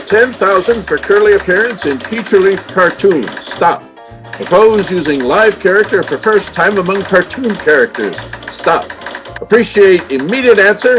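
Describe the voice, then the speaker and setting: A man playing a brisk, formal reader of headlines and telegrams in an old-time radio play. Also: high voice, animated, over music. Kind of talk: old-time radio acting, clipped announcing.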